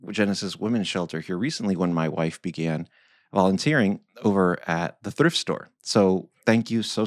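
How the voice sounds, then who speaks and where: Very deep-voiced enthusiastic male host. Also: no echo, clean studio sound. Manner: enthusiastic, effusive, fillers and restarts. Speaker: podcast host. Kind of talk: podcast interview.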